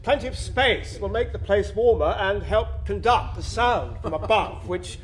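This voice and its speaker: A man's voice: sits high, measured pace. An actor playing a shy, eager male rabbit tunnel planner who explains things hesitantly in a radio drama. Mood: modest, pleased.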